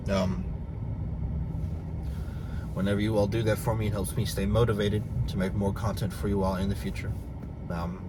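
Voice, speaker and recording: A young man with a very deep, low voice talks through a personal vlog rant. He hesitates and restarts often and sounds confused. Steady background noise and passing vehicles sit under his voice. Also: moderate pace, long pauses. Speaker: vlogger.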